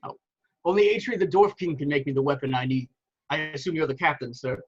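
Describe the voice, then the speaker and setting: A man's voice: on the high side, lively intonation, fast, slightly rough, dull audio. A man playing a noble, condescending warrior god with pompous, formal speech in an amateur film table read. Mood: pompous, courteous.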